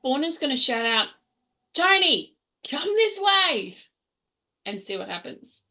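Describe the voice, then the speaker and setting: A woman's voice: high voice, very animated, takes it slowly, sharp tone. A woman chatting casually as a tabletop game player, describing her character's actions informally.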